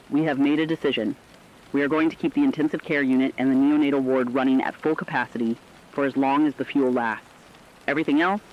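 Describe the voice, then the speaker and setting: A weary male radio journalist, acted by a man speaks in a medium-pitched voice through dull, lo-fi broadcast sound. He is earnest and compassionate, shaping each phrase with solemn deliberation as in a scripted radio drama. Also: lightly acted. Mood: grave, resolute.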